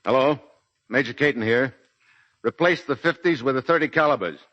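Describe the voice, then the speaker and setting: An actor playing a resolute, steady army major giving clipped, authoritative orders in 1940s radio drama style. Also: male voice, medium pitch, moderate pace, dry recording, lo-fi sound, close to an everyday voice. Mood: calm command. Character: resolute, steady male military commander, a major. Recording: noisy 1942 radio broadcast recording.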